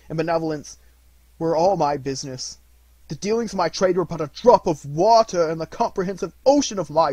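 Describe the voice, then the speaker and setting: A man voicing the anguished ghost of a dead miserly businessman in a storybook, lamenting solemnly and slowly, from hushed to loud. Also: medium pitch, slightly rough, dry recording.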